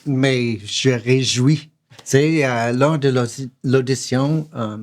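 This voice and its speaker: An American-born man whose native language is English, an actor chatting earnestly and a bit haltingly. His voice sits at an ordinary pitch for a man. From United States. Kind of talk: casual conversation.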